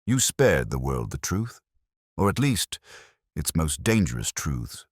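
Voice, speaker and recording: A man reading the part of a loyal, earnest gentleman companion to a detective in a Victorian audiobook, gently probing. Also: a bass voice, big pitch swings, unhurried, dry recording, studio quality, exaggerated voice. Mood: soft, earnest.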